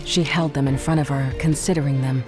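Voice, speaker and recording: A woman's voice: extremely low pitch, steady tone, brisk, music in the background. A woman giving scripted, dramatic narration for an audio drama.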